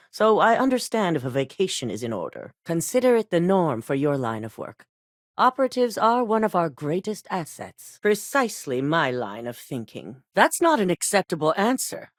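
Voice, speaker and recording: A ruthless corporate executive for a video game, cool and controlled. Her voice sits low yet moves with lively rises and falls, delivered crisply in a dry studio sound. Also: no echo. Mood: stern. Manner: measured, clipped and coldly authoritative. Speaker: company boss giving missions.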